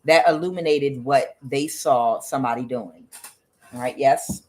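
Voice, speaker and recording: A woman doing a tarot reading on her own. She talks bluntly and swears often, questions the cards aloud, and fills her pauses with "uh". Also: very deep voice, darkish tone. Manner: blunt and profane, with frequent "uh" fillers. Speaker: tarot reader. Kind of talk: solo tarot reading to camera.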